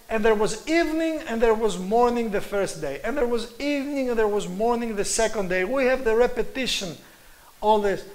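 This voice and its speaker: Greek-accented guy lecturing from slides, kinda high-pitched, earnest and emphatic. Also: darkish tone, low-quality recording. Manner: earnest, emphatic, chuckles at own points.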